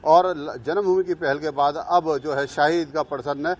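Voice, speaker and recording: A man giving an unscripted live news report, halting and long-winded as he searches for words. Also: fairly high pitch, steady tone, a little mellow, lo-fi sound.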